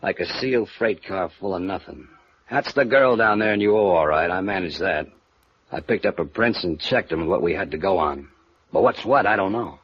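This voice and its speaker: A man's voice: deep voice, moderate pace, breathy edge. A man playing a slangy, easygoing private detective agency operative in a 1950s radio noir drama. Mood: wry, matter-of-fact. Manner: casual, streetwise slang. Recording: muffled vintage radio drama recording.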